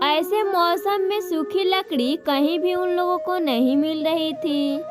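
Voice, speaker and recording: A woman's voice: very high-pitched, unhurried, over music. A woman narrating a scripted children's story as the storyteller.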